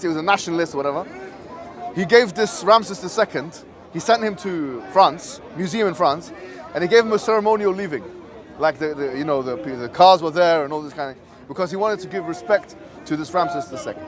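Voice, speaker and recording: Fast, high-pitched man debating outdoors. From Egypt. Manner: explanatory, checks the listener follows with tag questions. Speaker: street debater. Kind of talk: impromptu religious debate. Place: outdoors in a public park debate spot. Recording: outdoor recording with crowd chatter.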